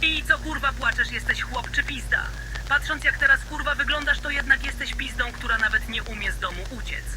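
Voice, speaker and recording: A man's voice: extremely high pitch, brisk, over music, voice pushed up, poor audio. A man playing an enraged, abusive demon stepfather in an analog horror story, berating with vulgar contempt. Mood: furious contempt.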